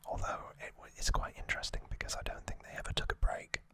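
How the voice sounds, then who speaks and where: An ASMR host speaking in an unhurried, informal whisper, chatting through commentary close to the microphone. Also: pushed and bright, no room sound. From United Kingdom. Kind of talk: whispered ASMR, relaxed show-and-tell commentary.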